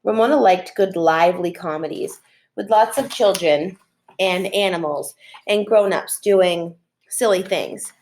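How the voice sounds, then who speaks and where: A woman's voice: fairly low pitch, measured pace, darkish tone. A middle-aged woman reading a children's chapter book aloud as a teacher would, recorded at a computer in a room at home. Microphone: computer mic at desk, webcam framing.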